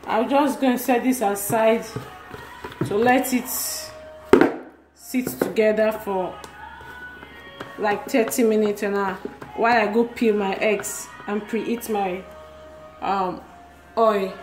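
A woman's voice: medium pitch, fairly even intonation, slow pace, pauses often, over music. A woman with a Nigerian accent giving a casual, step-by-step cooking demonstration as a home cook. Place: small tiled home kitchen, indoors. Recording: roomy, noisy home kitchen phone recording.

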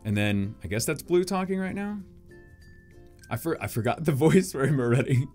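A man's voice: a little high, lively intonation, normal speed, a little mellow, faint music behind. A man in his thirties hosting, giving casual commentary on a comic reading into a headset. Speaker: video host.

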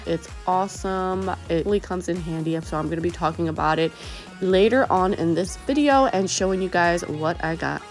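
A woman's voice: on the low side, normal speed, loud music behind the voice. A young woman hosting a cleaning vlog, talking in a casual, upbeat way with a congested, slightly cracky voice. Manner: upbeat, chatty, addresses viewers as guys. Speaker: cleaning vlogger.

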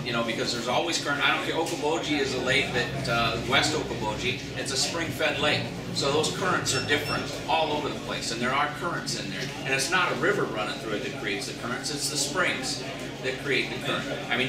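A middle-aged man, a fishing guide, giving a folksy storytelling seminar talk to an audience in a large indoor shop. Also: mid-range voice. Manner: storytelling, folksy, repeats words. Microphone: far camera, no mic. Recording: echoey room from far off, loud crowd.

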